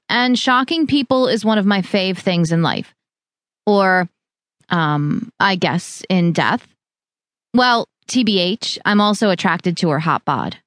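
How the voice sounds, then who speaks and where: A young woman playing a blunt, unapologetic zombie with a deadpan manner in an audiobook. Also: mid-range voice, no echo, studio quality. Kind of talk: first-person audiobook character narration.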